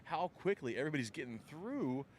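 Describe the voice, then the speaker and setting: A man chatting casually at an outdoor race, enthusiastic and halting, full of restarts. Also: on the high side, big pitch swings. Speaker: guest racer. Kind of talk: casual chat. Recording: faint background noise.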